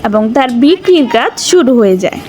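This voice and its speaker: উঁচু সুরের নারী কণ্ঠে গল্প বলা. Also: female voice, high voice, very animated, fairly quick, a little mellow. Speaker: narrator. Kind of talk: scripted story narration for a cartoon video. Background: music.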